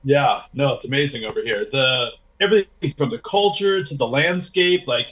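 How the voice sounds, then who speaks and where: A man's voice: mid-range voice, moderate pace, fairly bright tone. A man chatting casually as a podcast guest over a video call, with laid-back, slangy phrasing about his own experiences. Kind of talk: casual travel chat. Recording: dull lo-fi video call audio.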